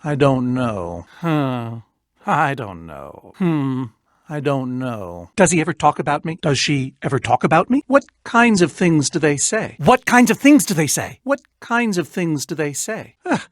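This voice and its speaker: An actor playing an eccentric, lonely, reclusive adventurer in a video game, theatrical and wordy, with dry self-pity and wistfulness. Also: male voice, a little high, very animated, measured pace, dry recording. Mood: insecure, hopeful. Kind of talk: animated video game character acting.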